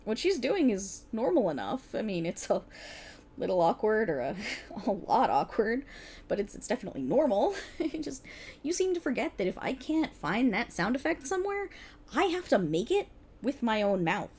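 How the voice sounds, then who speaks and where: A woman's voice: a little high, sing-song, normal speed, darkish tone, husky, full character voice. A woman playing a playful, exasperated voice actor bantering casually with her best friend in an ASMR roleplay. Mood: embarrassed, flustered. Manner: sarcastic, chatty, casual, hesitant asides. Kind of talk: ASMR roleplay, one side of a conversation.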